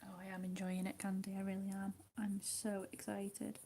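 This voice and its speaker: A woman chatting casually with her viewers while she colours, speaking softly, often half in a whisper and a little hesitant. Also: mid-range voice, level tone, moderate pace, a little hiss or hum. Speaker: livestream host.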